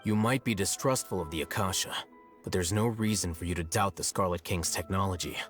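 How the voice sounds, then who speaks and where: A man playing a cool, aloof young scholar in a video game, measured, dry and formal. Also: low voice, a bit bright, faint music behind. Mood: detached.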